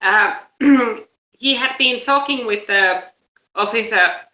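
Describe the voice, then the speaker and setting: A woman's voice: a little high, takes it slowly, pushed and bright. A woman giving casual news commentary as a live-stream host. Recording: roomy, echoey live-stream audio.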